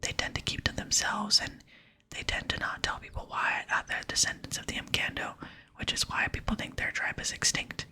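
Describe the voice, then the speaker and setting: A woman whispering in ASMR style, softly and slowly reading video game dialogue aloud. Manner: soft, slow, hushed reading. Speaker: ASMR gaming channel host.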